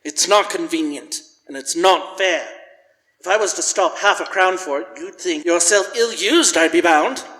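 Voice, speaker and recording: A sharp, high-voiced old miser. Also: male voice, moderate pace. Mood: grudging. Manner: curt, dismissive, scornful. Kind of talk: live radio-play acting. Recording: live in echoey church hall.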